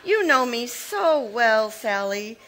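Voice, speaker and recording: A woman's voice: a little high, big pitch swings, a bit bright, a little hiss or hum, full character voice, low-quality recording. A woman playing a scheming, stammering spinster. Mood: pleading. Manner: halting, stammering show of distress. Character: scheming, nervous-seeming spinster sister. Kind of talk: live recreation of 1940s radio mystery acting.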